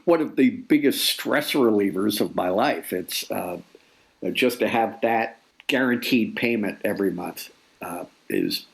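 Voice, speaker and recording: An interview guest, a man in his sixties, speaks in a low, gravelly voice. He reflects in a halting way, often circling back over his words. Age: 60s. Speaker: guest author.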